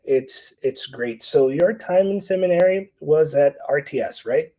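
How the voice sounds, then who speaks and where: A man's voice: on the high side, expressive, a little slow, warm tone. A man hosting a podcast interview, friendly and affirming, asking follow-up questions. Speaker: podcast host. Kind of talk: conversational interview.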